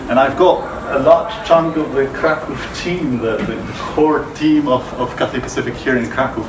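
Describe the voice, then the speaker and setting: Male host, chatty interviewer, recorded from a distance in a roomy office with steady hum. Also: mid-range voice. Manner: chatty, leading questions. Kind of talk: live interview. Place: office contact centre.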